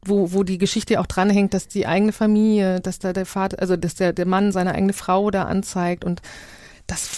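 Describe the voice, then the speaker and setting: A woman's voice: mid-range voice, little pitch movement, normal speed, darkish tone, no room sound, clean studio sound. A woman giving an informal, spontaneous first-person account as a discussion guest, thinking aloud as she searches for words. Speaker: guest, author of an audio documentary project.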